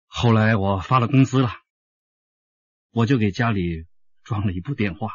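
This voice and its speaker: An actor playing an earnest, steady male police detective in a radio drama. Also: on the low side, moderate pace, husky, dry recording, highs cut off. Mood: pensive. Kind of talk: Mandarin radio drama acting.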